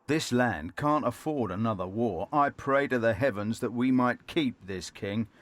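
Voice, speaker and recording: War-weary medieval guard, medium pitch, man acting. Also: measured pace, a little hiss or hum, lightly acted. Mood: weary, earnest. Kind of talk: video game character acting.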